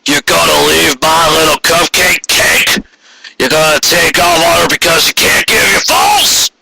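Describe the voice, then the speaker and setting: A man voicing a huge, gruff, foul-mouthed old stallion father-in-law who roars his demands in a fan fiction story. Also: fairly high pitch, lively intonation, a little slow, heavy background noise, pitched above the performer's own voice, heavily acted. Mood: accusing. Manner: roaring, confrontational. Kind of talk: amateur fan fiction audio reading.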